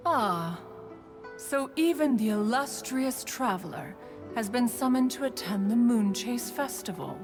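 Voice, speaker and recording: A woman's voice: a little high, lively intonation, unhurried. An actress playing a haughty, ancient female bird adeptus in a video game, speaking in pompous, archaic, formal language. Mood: grandly proud. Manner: pompous, archaic formal speech, refers to self as "one". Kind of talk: theatrical video game character acting. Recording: long hall reverb, game music behind.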